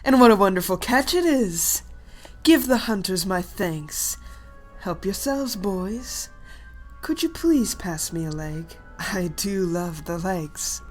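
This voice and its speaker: A woman playing a smug, calculating dragon queen in an animated fantasy, speaking in a theatrical, mock-polite way. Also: medium pitch, slow, faint music behind. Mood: mock-friendly. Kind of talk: animated fantasy drama acting.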